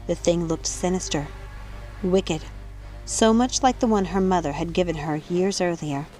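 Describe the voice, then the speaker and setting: A woman's voice: fairly low pitch, moderate pace, dark tone. A woman reading an audiobook as narrator, in a dramatic scripted storytelling style. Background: music.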